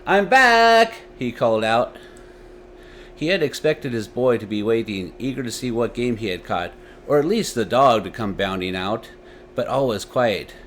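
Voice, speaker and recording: A man's voice: medium pitch, normal speed, a little hiss or hum. A man hosting a story series and narrating tales dramatically into a desktop microphone. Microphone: desktop USB microphone.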